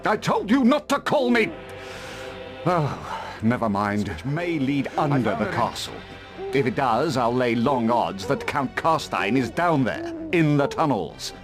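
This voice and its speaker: An actor playing a grim, irritable witch hunter in a fantasy game cutscene, with measured, dramatic delivery. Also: mid-range voice, sing-song, over music. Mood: irritable, brooding.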